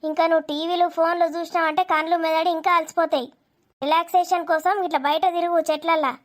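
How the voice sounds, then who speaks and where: A woman's voice: very high-pitched, little pitch movement, fast talker. A woman voicing a scolding, sarcastic village mother in a cartoon comedy, nagging with a Telangana accent.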